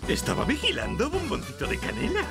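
Gravelly, blustering cartoon lion. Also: male voice, extremely high pitch, huge pitch swings, brisk, heavily acted. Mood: meek. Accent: Castilian Spanish. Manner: blustering, theatrical. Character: vain, lazy, blustering male lion, henpecked husband. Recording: music behind the voice.

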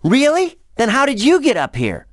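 A man's voice: very high voice, wildly swooping pitch, normal speed, no room sound, studio quality, full character voice. A man voicing a cocky, plucky young dragon hero in a cartoonish video game, casual and cheeky.

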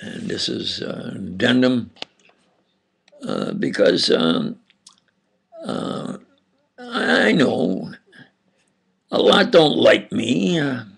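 An older man with an Upstate New York and New Jersey accent, giving a halting, insistent monologue lecture with sudden loud emphasis. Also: mid-range voice, huge pitch swings, creaky, husky. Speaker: self-styled preacher lecturing on camera.

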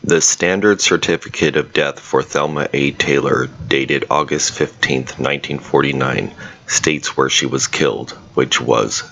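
A man giving a measured, serious scripted voice-over narration about a local ghost story. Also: rumbling low, gravelly, fairly bright tone, rough recording. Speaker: paranormal investigator narrator.

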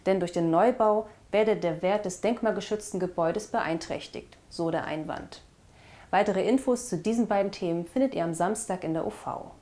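A woman's voice: on the low side, warm tone. A young woman presenting scripted local news as host, recorded indoors on a lapel mic. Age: young adult. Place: indoor studio with blue backdrop.

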